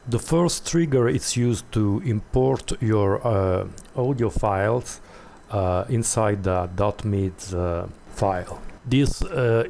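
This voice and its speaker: A man hosting a step-by-step tutorial, explaining off the cuff in a halting way and repeating words as he goes. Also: mid-range voice, a little mellow, slight background noise. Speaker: tutorial host.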